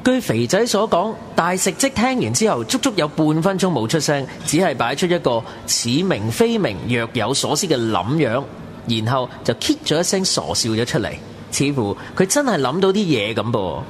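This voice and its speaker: A man's voice: fairly high pitch, sing-song, normal speed, slight background noise. A man playing a wry, slangy young narrator in a Cantonese radio drama. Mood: wry narrating. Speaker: narrator and character.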